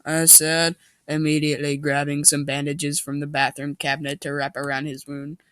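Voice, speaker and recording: A man's voice: on the high side, completely flat delivery, moderate pace, fairly bright tone, clean tone, no room sound, studio quality. A man doing a mocking amateur reading of a story, with sarcastic asides and an exaggerated delivery.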